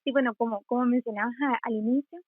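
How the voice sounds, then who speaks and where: Brief: Honduran woman, twenties, activist guest, higher voice, thin phone-line sound, dry. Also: steady tone, fast talker, husky, dry recording. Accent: Honduran Spanish. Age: 20s. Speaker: interview guest, student activist. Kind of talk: earnest interview answers. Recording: tinny phone-like call audio.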